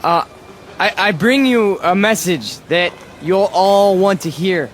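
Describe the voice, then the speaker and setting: A man acting in a radio drama as a nervous, fanatical would-be demagogue with a halting, insistent delivery. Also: sits high, slight background noise. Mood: nervous, faltering. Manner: stammering, halting, then ranting.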